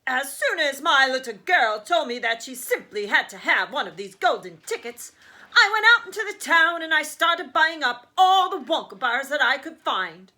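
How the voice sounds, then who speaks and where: A woman voicing a boastful, indulgent rich father in a children's storybook, talking in loud, emphatic, folksy brags. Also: high voice, sing-song, moderate pace, exaggerated voice.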